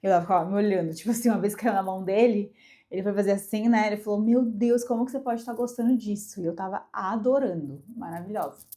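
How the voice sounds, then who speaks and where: A woman's voice: mid-range voice, fast talker, warm tone. A young Brazilian woman congested with a cold, chatting casually and emphatically to camera as a vlogger. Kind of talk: casual personal chat to camera.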